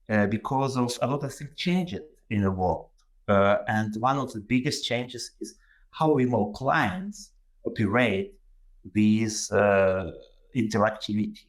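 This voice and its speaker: A man with a Ukrainian accent presents in a webinar over a video call. His voice sits in a middle range for a man. He talks haltingly, with plenty of fillers and restarts. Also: mid-range voice. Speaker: guest presenter.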